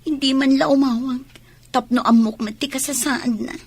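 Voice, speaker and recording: An actress with an Ilocano accent playing a wistful, worried young expectant wife in a radio drama. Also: female voice, sits high, steady tone, moderate pace, slightly rough.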